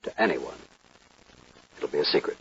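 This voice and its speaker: An actor playing a stiff, proud, self-centred gentleman suitor with a formal manner in an old-time radio western. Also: normal speed, natural voice. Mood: earnest, strained. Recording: dull, noisy old radio recording.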